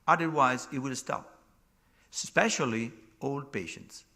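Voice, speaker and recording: Portuguese-accented guy at a medical conference, gravelly but bright voice, talks in restarts. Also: mid-range voice, measured pace. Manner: non-native phrasing, restarts, emphatic. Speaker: session chair and speaker. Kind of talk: conference Q&A answer.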